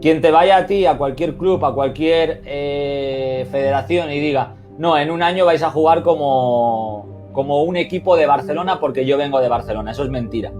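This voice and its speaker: A man's voice: fairly high pitch, moderate pace, few pauses, music in the background. A man with a Spain Spanish accent, a football coach answering interview questions over a video call, reflective and emphatic, with many restarts and "eh" fillers.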